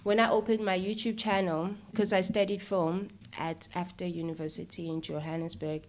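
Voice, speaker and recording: A South African woman speaks as a guest in an interview. Her voice sits fairly low for a woman, and she talks in a casual, conversational way. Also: level tone, moderate pace. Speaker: interview guest, filmmaker and YouTuber.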